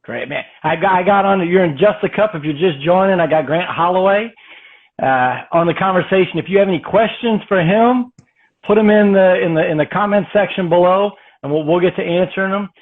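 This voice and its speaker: A man's voice: sits high, brisk. A man hosting an interview, a coach who asks easygoing questions and keeps affirming his guest over a video call. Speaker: host and coach. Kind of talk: casual interview chat. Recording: phone-like call audio.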